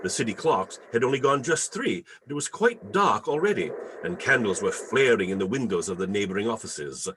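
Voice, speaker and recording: A man announcing and narrating a radio play in a theatrical, deliberate, emphatic style. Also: lowish voice, sing-song, background noise. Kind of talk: old-time radio announcing and storytelling. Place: remote video call reading.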